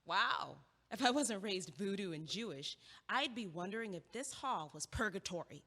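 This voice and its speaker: Woman acting a wry, bright, husky-edged friend. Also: medium pitch, expressive, normal speed. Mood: wry amazement. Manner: wry, teasing, punchy short remarks. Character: wry, blunt, pushy female friend. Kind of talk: audio play acting, comedic dialogue. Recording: echoey hallway-like long reverb.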